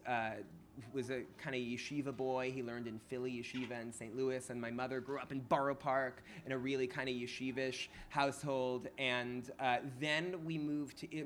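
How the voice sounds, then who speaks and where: A man from New York whose first language was Hebrew, a podcast guest talking in an animated, casual way full of restarts and fillers. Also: medium pitch, a little hiss or hum. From New York, USA. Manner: animated, many restarts and fillers, laughs easily. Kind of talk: casual interview conversation.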